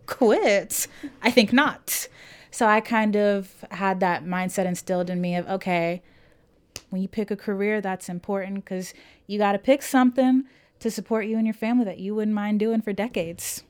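A college student in her twenties from Louisiana, telling her own story in a casual interview with lively, emphatic rhetorical questions. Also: medium pitch, moderate pace, clear, resonant tone. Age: 20s.

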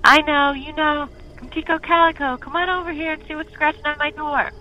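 A woman voicing a frightened old mountain man who calls out loudly in a rustic way. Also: high-pitched, fairly even intonation, brisk, highs cut off, voice pushed up, natural voice. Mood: calling, scared. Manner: loud rustic calling.

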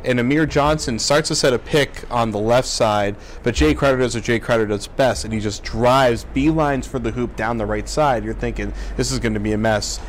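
A man's voice: medium pitch, moderate pace, hardly any pauses, slight background noise. An American man hosting a casual sports show, talking through and analysing a game.

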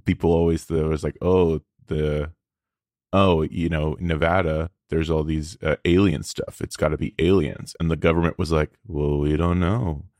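A man's voice: very deep voice, little pitch movement, moderate pace, darkish tone, dry recording, studio quality. A man co-hosting a comedy podcast, debating casually in a wry, skeptical way. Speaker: podcast co-host.

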